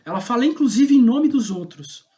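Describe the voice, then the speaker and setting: A man's voice: very high voice, lively intonation, warm tone. A man with a Brazilian Portuguese accent, reflecting in a podcast interview as a guest playwright, searching for his words as he goes. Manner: halting, thoughtful, searching for words.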